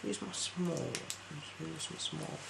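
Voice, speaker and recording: Very creaky, soft-spoken ASMR optician voice. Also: mid-range voice, steady tone, normal speed, very noisy. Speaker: ASMR performer acting as an optician. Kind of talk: ASMR eye exam roleplay.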